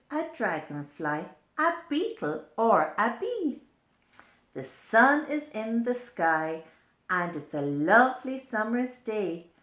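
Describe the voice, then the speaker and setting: A woman's voice: fairly low pitch, fairly bright tone, roomy sound. A woman reading a picture book aloud to young children, often prompting them with simple questions. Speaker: storyteller for children.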